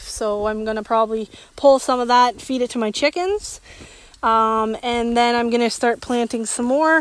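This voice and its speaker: A woman in her thirties giving a casual, chatty tour of her vegetable garden, with lots of ums, outdoors on a phone held at arm's length. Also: a little high. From Canada. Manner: relaxed and chatty, with many ums. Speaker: homesteader vlogger.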